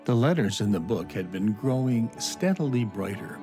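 Male narrator for kids' story, scripted delivery, lively pitch movement, faint music underneath. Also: normal speed.

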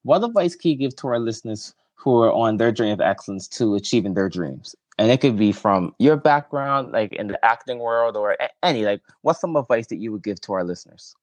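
A man's voice: lowish voice, expressive, brisk, few pauses, no room sound. A man hosting an interview podcast, asking questions in a friendly, affirming way with lots of short encouraging replies. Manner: friendly, affirming, frequent "okay" and "yes", laughs often. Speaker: podcast host. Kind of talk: casual interview chat.

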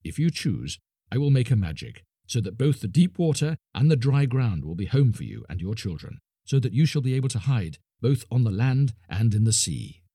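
A practical, easygoing grown man, played by the reader, plain and matter-of-fact with a warm, husky tone. Close and dry. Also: medium pitch, big pitch swings, normal speed, no echo.

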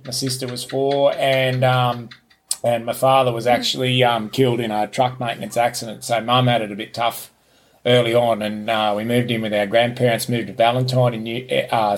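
Man, Australian accent, forties, rambling casual storytelling, level unvaried pitch, back veranda. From Newcastle, New South Wales, Australia. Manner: easygoing, rambling, many ums and restarts. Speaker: podcast host being interviewed as guest. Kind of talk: casual interview, life story. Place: outdoors on a home's back veranda.

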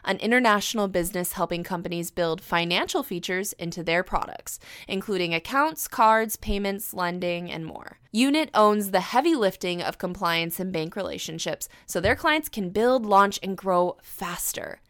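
A woman host reading a scripted introduction of a guest speaker in a measured way. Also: medium pitch, animated, fairly bright tone, dry recording, studio quality.

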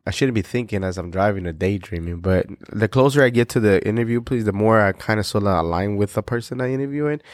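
A man's voice: lowish voice, fast talker, few pauses, no room sound, studio quality. A man with a Spanish accent, a native Spanish speaker, hosting a podcast interview in a talkative, joking way. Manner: joking, talkative, playful asides. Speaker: podcast host. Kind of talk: casual interview chat.

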